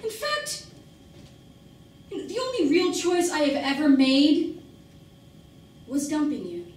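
A woman's voice: sits high, sing-song, slow, darkish tone, exaggerated voice. A woman performing a dramatic monologue as an embittered, struggling working-class mother, defensive and pleading, with emphatic, self-questioning delivery. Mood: angry, bitter. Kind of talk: dramatic stage monologue acting. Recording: very echoey room, distant mic, faint noise.

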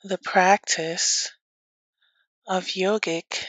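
Woman, yoga nidra teacher, soothing guided meditation, very slow pacing, long pauses, dry close sound. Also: fairly low pitch, fairly even intonation, fairly bright tone, slightly rough, no echo, low-quality recording.

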